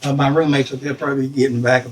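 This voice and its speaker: A man's voice: medium pitch, level tone, racing, far from the mic, heavy background noise. A sixty-year-old man answering questions at home in casual, hesitant, broken phrases. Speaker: interviewee confronted at home. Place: inside his home.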